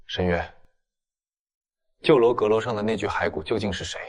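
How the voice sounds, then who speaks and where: A man playing a stern, restrained police detective in an audio drama, questioning gravely and with control. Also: on the low side, normal speed, close to an everyday voice. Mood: stern, probing. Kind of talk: dramatized audio fiction acting.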